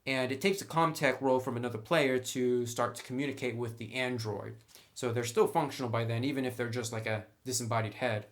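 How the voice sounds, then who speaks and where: Man, mid-pitched voice, casual reviewer, conversational commentary, opinionated, emphatic on key words. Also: fairly even intonation, fairly quick. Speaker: tabletop game reviewer.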